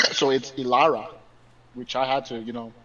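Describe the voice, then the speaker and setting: A man's voice: mid-range voice, very noisy. Distant, muffled man in sports chat. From Mexican background. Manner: emphatic, stumbles and repeats words. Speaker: podcast co-host. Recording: dull distant remote audio.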